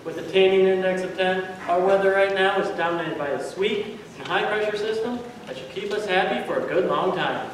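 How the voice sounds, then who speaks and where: A man's voice: sits high, brisk, few pauses, natural voice. A teen boy doing a chipper TV weatherman. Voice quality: breezy announcer patter. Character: upbeat male TV weatherman. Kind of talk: high school readers theater. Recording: echoey room from far off with noise.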